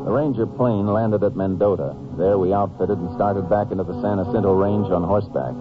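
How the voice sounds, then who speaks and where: A man acting a steady, shrewd Texas Ranger with an American accent in an old-time radio drama, in clipped, folksy Western talk. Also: fairly low pitch, fairly quick, music in the background, close to an everyday voice. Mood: matter-of-fact. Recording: noisy, narrow-band old radio recording.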